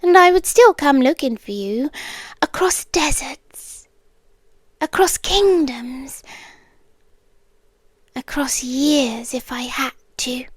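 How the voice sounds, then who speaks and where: Slow, high-voiced British yandere queen, acted by a woman. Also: no echo. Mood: possessive, quietly menacing. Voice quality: soft, breathy, often dropping to a whisper. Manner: slow, deliberate, intimate. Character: possessive yandere queen, courtly, teasing, softly menacing. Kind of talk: ASMR roleplay. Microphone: close, dry microphone.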